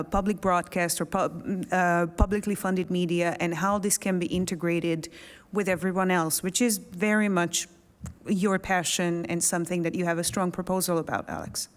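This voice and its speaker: A woman from Hungary moderating a panel, asking probing questions in a conversational way. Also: lowish voice. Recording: long hall reverb.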